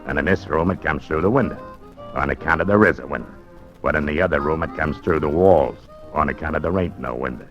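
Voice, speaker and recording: An actor playing a menacing male gangster with a croaking low voice, in 1940s radio acting. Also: quick pace. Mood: gloating. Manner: bullying, sneering orders. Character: gravel-voiced male gangster called Froggy, menacing and sneering. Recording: muffled old radio broadcast with music.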